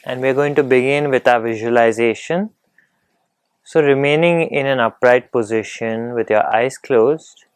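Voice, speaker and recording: A man leading a guided meditation in a calm, gentle, unhurried manner, leaving long pauses between phrases. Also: mid-range voice, clean tone, dry recording.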